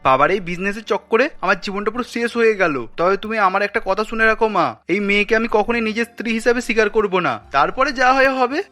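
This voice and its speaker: A man's voice: sits high, fast, hardly any pauses, bright, forceful tone, soft background music. A man voicing an aggrieved, complaining young groom in a melodramatic cartoon. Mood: angry defiance. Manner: complaining, emphatic. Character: aggrieved young groom resenting his forced arranged marriage.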